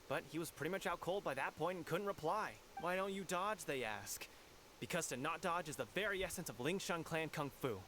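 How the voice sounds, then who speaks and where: An actor playing a flustered, apologetic young martial arts disciple in a video game, rambling and complaining politely. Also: male voice, fairly high pitch, a bit bright. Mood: exasperated. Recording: noisy ambience behind game dialogue.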